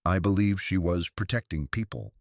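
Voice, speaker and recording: A man voicing a discreet, probing woman visitor in a mystery story, soft-spoken and careful. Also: sits low, lively intonation, normal speed, dry recording, clean studio sound. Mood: quietly probing.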